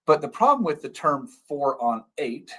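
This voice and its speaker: A man's voice: fairly high pitch, sing-song, moderate pace, a bit bright. Dentist man explaining things plainly. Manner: plain-spoken, repeats key terms to keep it simple. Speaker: dentist hosting a live stream. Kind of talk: casual explanatory chat.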